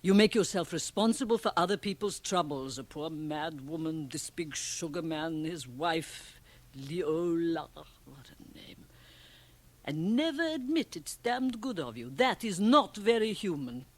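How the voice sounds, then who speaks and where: Shrewd woman, low husky voice, blunt and theatrical, radio play. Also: animated, a little slow. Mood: scolding, frank. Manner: emphatic, theatrical, probing. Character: shrewd, worldly, provocative Swiss woman, blunt and teasing. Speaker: radio drama actress. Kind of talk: radio drama acting.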